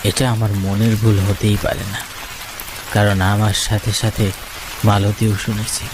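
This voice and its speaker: A man's voice: lowish voice, little pitch movement, unhurried, lightly acted. A man playing an earnest, kindly village yogurt seller who narrates his own cartoon story in a suspenseful storytelling manner. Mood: puzzled, suspenseful. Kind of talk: first-person cartoon story narration with dialogue. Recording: loud rain and thunder noise.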